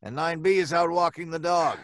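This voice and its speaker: Man as a high-voiced, fretful building superintendent. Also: fairly quick, fairly bright tone, no room sound, studio quality, lightly acted. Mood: defensive, irritated. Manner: hesitant, stammering, folksy, defensive. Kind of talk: old-time radio suspense acting.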